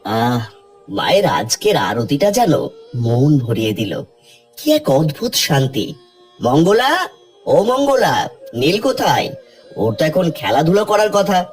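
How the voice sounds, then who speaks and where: A man's voice: on the high side, big pitch swings, measured pace, soft background music. A man playing a devout, doting landowner father with earnest, emotive delivery in a cartoon story. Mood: contented, doting. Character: devout, doting wealthy landowner father, anxious for his son. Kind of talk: dramatic cartoon story acting.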